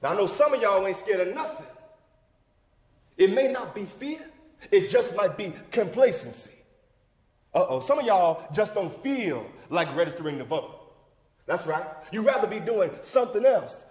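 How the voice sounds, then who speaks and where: Stage monologue: male actor playing an older male civic leader, very high-pitched voice, preacherly and emphatic, big reverberant hall sound. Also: very animated, normal speed, darkish tone. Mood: chiding. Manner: preacherly, emphatic, folksy, rousing cadence. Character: John Wesley Dobbs, fervent older civic leader rallying a church crowd. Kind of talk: theatrical monologue, oratorical speech. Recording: echoey hall with long reverb.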